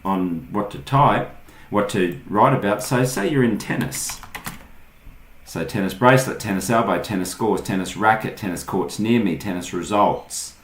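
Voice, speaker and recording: Low-voiced Australian man in an echoey room. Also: slight background noise. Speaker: online marketing tutorial host. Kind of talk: informal screen-share tutorial, explaining step by step. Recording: echoey, roomy sound.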